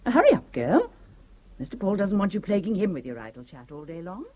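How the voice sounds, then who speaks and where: An actress playing a brisk, bossy woman giving orders to her maid and guests in a radio drama. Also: medium pitch, huge pitch swings, mellow. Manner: curt, commanding. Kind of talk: 1960s radio drama acting.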